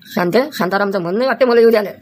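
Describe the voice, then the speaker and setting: A woman's voice: mid-range voice, rapid-fire, slight background noise, lightly acted. A woman voicing a nosy, easygoing village man in a comedy cartoon, with a familiar manner and a Varhadi Marathi accent. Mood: reassuring. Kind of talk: exaggerated cartoon comedy dialogue.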